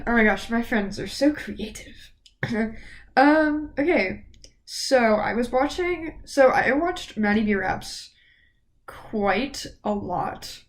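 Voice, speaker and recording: Teen girl vlogger, a touch high-voiced, sniffly, rambling fan chatter. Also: poor audio. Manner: rambling, gushing fan talk. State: sniffly from a cold. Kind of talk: casual chat to camera.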